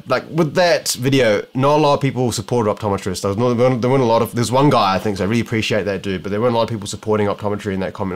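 A young man with a New Zealand accent muses aloud to a camera close in front of him, indoors at home. He rambles along casually, often breaking off and restarting his sentences as he works through his thoughts. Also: fairly low pitch. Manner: musing, rambling, many restarts. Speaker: optometrist vlogger. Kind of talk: casual talking-head vlog. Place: home living room, indoors.

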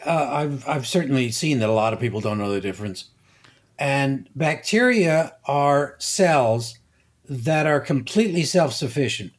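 Older male expert guest, very slow and deliberate delivery. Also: mid-range voice. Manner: measured, halting, many fillers. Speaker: guest scientist. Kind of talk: explanatory interview answers.

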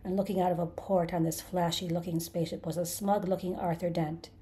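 A woman's voice: lowish voice, flat delivery, fairly quick, warm tone, close to an everyday voice. Woman voicing a snarling, vengeful male creature. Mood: bitter sarcasm. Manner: snarling, hissing, sarcastic. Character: vengeful, bitter, menacing male creature, battered and hateful. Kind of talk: dramatized audiobook character.

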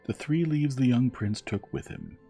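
A man reading a storybook tale as gentle, measured bedtime narration, soft and soothing. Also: mid-range voice, sing-song, vocal fry. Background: music.

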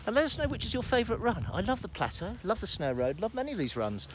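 A man's voice: sits high, wildly swooping pitch. A middle-aged man hosting a casual ski vlog outdoors on a snowy slope, chatting cheerfully into a phone camera held at arm's length. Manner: chatty, cheerful, quick to laugh. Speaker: ski vlogger host. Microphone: phone camera at arm's length, no external mic. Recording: loud wind noise on phone mic.

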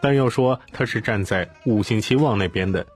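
A man narrating an audiobook in an expressive storytelling style. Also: lowish voice, a little fast, slightly rough, soft background music.

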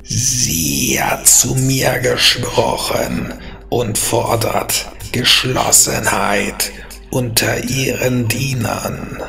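A man voicing a gaunt, menacing undead sea lord in a slow, gurgling, breathy rasp for a fantasy story. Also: mid-range voice, pushed and bright, soft background music, full character voice. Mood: ominous, commanding. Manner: slow, drawn-out, commanding.

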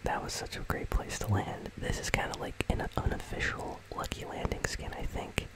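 A gaming channel host speaking entirely in a soft, breathy, unvoiced whisper, giving casual, rambling ASMR commentary. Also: normal speed, low-quality recording. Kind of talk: ASMR whispered commentary on a game item shop.

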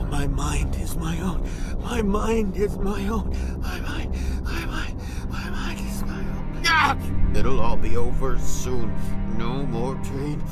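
Tormented colonist with wildly swinging pitch. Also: male voice. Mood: desperate. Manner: strained, erratic, muttering and crying out. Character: tormented colonist man in pain, mind under outside control. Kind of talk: video game voice acting.

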